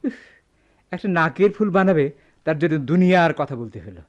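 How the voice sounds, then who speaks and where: A man's voice: on the high side, lively intonation, moderate pace, lightly acted. Dull-recorded, fussy shopkeeper played by a man. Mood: grudging, impatient. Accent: Bangladeshi. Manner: hesitant muttering, impatient. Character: busy, grudging, fussy male goldsmith shop owner. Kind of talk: radio drama acting.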